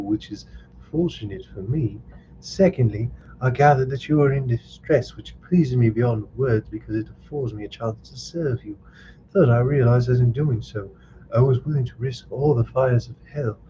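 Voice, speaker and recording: A man's voice: medium pitch, moderate pace, dark tone, dull audio, a little hiss or hum, close to an everyday voice. A man voicing a bold, gallant young swordsman, speaking in a confident, courtly, declamatory way.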